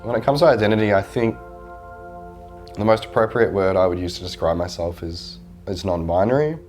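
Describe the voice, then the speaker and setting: A man speaking hesitantly in a documentary interview, with pauses and restarts. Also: fairly low pitch, darkish tone, soft background music.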